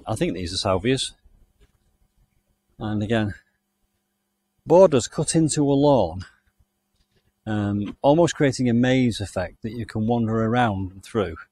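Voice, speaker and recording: A man's voice: on the low side, lively intonation, measured pace, long pauses, darkish tone, husky, no room sound. A man from the UK giving a chatty, enthusiastic walking tour of a country garden outdoors, recorded on the camera he carries. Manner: chatty, enthusiastic, thinking aloud. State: hot and overdressed while walking. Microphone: handheld camera mic, walking.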